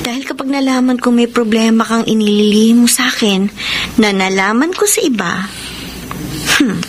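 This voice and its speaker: Actress as high-voiced earnest young Filipina girlfriend. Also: moderate pace, a little hiss or hum, natural voice. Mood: affectionate, gently probing. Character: young woman, trusting girlfriend, hurt and accusing when betrayed. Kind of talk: Filipino radio drama acting.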